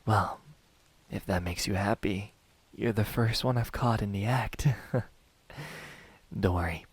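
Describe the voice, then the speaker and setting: A smug, menacing demon hunter, voiced by a man in an ASMR roleplay. His voice is low and husky, often sinking toward a whisper. He taunts his prey slowly and deliberately, taking his time over each phrase. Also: dry recording, full character voice. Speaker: amateur voice actor.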